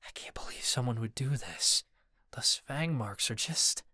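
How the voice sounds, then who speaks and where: Rich: a man doing a careful young male convict who warns his friend under his breath. The voice is soft, breathy and close, with a dry, tight sound. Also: medium pitch, animated, unhurried, dry recording. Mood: worried. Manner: hushed, urgent whispering.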